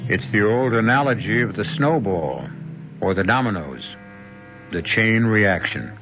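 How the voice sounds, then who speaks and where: A man hosting a 1970s radio drama with measured, portentous scripted narration. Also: fairly low pitch, expressive, over music. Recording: dull 1970s radio, faint noise.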